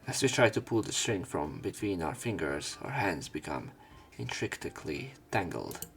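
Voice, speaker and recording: A man's voice: low-pitched, very animated, normal speed, vocal fry, a bit bright, soft background music. A man narrating a visual novel let's play, reading the story aloud with casual commentary.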